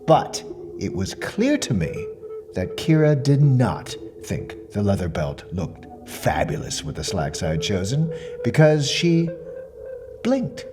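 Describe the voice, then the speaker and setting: An actor playing a fussy, self-important mystery shopper with quiet menace, delivering a precise, deliberate monologue in the form of a report. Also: male voice, on the low side, sing-song, music in the background. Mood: fussy, measured. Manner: pompous, precise, deliberate, dwelling on words. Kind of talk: dramatic monologue as a spoken questionnaire report.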